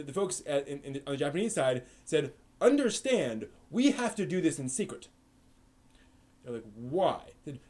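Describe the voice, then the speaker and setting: A man hosting a casual anime live stream, telling stories in a rambling way with many fillers and restarts. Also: a little high. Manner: animated storyteller. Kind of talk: casual chat with chat readers.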